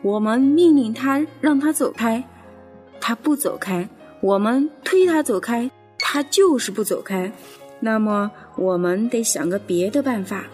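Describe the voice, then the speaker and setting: Grumpy wild boar, female storyteller acting it: slow, grumbling, stubbornly emphatic. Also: fairly high pitch, animated, a little mellow, soft background music. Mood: grumpy, determined.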